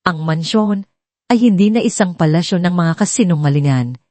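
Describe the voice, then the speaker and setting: A woman reads a dramatic audio story as its narrator. Her voice is on the low side and somewhat mellow, recorded dry and close. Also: moderate pace, dry recording.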